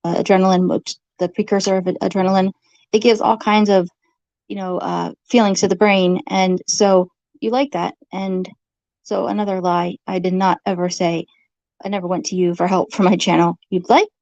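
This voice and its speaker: Creaky-voiced woman, halting and accusatory. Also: medium pitch, flat delivery, a little mellow, no room sound, lo-fi sound. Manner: accusatory, halting, repeats phrases. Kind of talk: informal argumentative monologue to camera.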